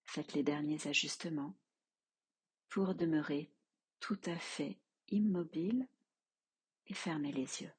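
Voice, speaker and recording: A woman teaching yoga nidra, leading a guided relaxation in a soft, calm, measured way with long pauses. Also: deep voice, breathy edge.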